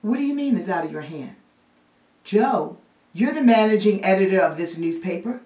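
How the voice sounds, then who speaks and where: A woman's voice: mid-range voice, expressive, moderate pace, dark tone, voice pushed up, close to an everyday voice. Woman performing indignant female reporter, emphatic pleading, stage monologue, echoey room, distant mic. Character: impassioned female newspaper reporter pleading with her editor. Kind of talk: theatrical monologue. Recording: roomy echo, distant microphone.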